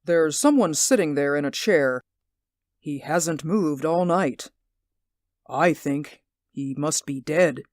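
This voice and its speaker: A woman's voice: low voice, slow pace, a little mellow, dry recording, studio quality. A woman playing an anxious, insistent man in a radio drama, speaking earnestly and emphatically. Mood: urgent. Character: anxious, insistent male stage actor spying on neighbours.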